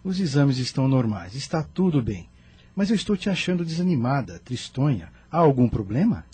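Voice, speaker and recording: A man's voice: mid-range voice, sing-song, moderate pace, warm tone, breathy edge. An actor playing an attentive, concerned male doctor in a radio soap opera.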